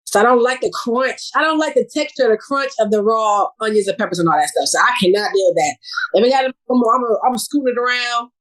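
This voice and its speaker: A woman from New York City, a guest on a casual podcast, chatting in a relaxed way and laughing easily. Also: on the high side, brisk, poor audio. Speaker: podcast guest, social media creator.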